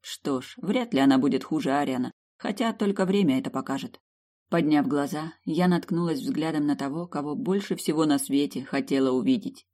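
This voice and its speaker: An audiobook narrator reading first-person fantasy fiction in an expressive storytelling style. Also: mid-range voice, brisk.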